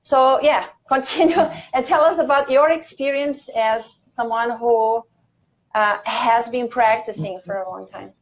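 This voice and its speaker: A woman's voice: a little high, a little slow. A woman hosting a casual interview over a video call, asking questions and encouraging her guest with frequent backchannels. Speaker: interview host. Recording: muffled video call audio.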